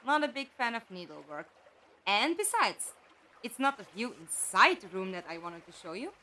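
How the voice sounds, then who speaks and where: A woman acting in an audio drama as a practical, inquisitive captive who talks in a direct, conversational, gently wry way. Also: mid-range voice, sing-song, moderate pace, a little hiss or hum.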